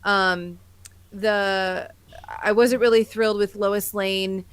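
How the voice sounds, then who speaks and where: A woman's voice: mid-range voice, steady tone, takes it slowly, clean tone. An American woman co-hosting a podcast, chatting casually and effusively. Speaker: podcast co-host. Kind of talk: casual chat about sci-fi fandom.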